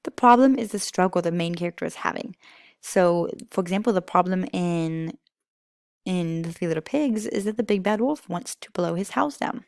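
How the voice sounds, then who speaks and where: Gravelly-edged woman teaching young children. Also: lowish voice, normal speed, darkish tone, no echo, studio quality. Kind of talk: informal lesson for young children.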